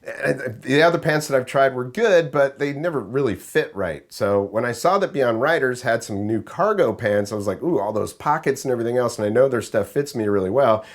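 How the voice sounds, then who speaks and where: A man with a raspy, hoarse voice, chatting casually in a rambling way as he reviews riding gear for his vlog. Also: mid-range voice, expressive, brisk, hardly any pauses. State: raspy, hoarse voice after illness.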